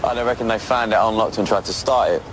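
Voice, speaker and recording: A man's voice: lowish voice, quick pace, lightly acted. Man acting a sceptical policeman, noisy street. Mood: matter-of-fact. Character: brisk, sceptical male British police officer. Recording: distant, noisy outdoor street recording.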